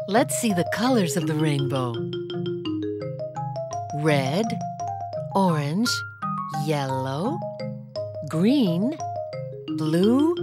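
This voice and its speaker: A woman narrates a children's alphabet lesson in a gentle, patient voice. She speaks unhurriedly, with lively, sing-song pitch that rises and falls. Bright children's music plays behind her. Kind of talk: scripted children's educational narration. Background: children's background music.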